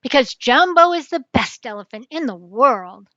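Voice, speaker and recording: A woman's voice: high-pitched, lively intonation, normal speed, fairly bright tone, dry recording. An older woman voicing a worried, pleading male king in a children's storybook. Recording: dull lo-fi dry recording.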